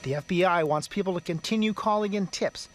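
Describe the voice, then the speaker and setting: A man reading a scripted crime report for a local TV newscast, measured and clipped. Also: high voice. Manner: measured, clipped news delivery.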